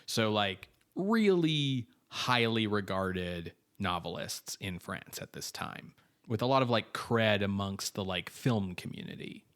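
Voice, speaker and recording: Low-voiced man reading film research. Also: animated, measured pace, a bit bright. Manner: informative, frequent "like" fillers. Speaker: podcast co-host. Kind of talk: casual chat reading research notes.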